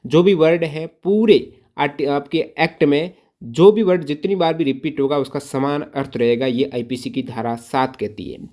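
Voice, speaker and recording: An Indian-accented man with a slightly high voice works as an exam-prep teacher. He explains questions in lecture style, didactically restating key points and asking rhetorical questions to drive them home. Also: moderate pace, darkish tone.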